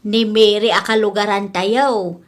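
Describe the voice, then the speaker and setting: A woman's voice: medium pitch, fairly even intonation, a little slow, close to an everyday voice. A woman acting an affectionate, easily wounded older mother in an audio village drama. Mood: hurt, troubled.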